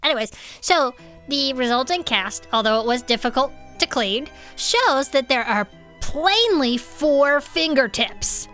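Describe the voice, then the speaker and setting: A woman's voice: sits high, expressive, measured pace, a bit bright, faint music behind. A woman playing a chipper, easily sidetracked cryptid-club co-host who reads case reports and banters. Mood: earnest, narrating. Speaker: podcast co-host.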